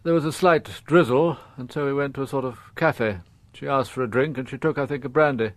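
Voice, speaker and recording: A man playing a dignified, middle-aged upper-class father in a radio drama, confessing in formal, halting, precise phrases. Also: medium pitch, dry recording, lightly acted. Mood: reflective, formal.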